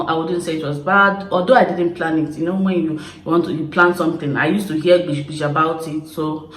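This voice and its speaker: Chatty Igbo-accented woman in echoey room. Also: lowish voice. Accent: Nigerian (Igbo). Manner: chatty, expressive, laughing storyteller. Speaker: YouTuber. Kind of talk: casual confessional chat to camera.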